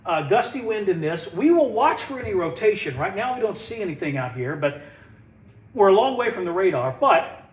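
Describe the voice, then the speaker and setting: A man's voice: sits high, very animated, brisk, slightly rough, rough recording. A man, a TV meteorologist chatting folksily through a live weather stream while sniffling with a head cold. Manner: folksy, chatty, joking asides. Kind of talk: casual livestream weather commentary.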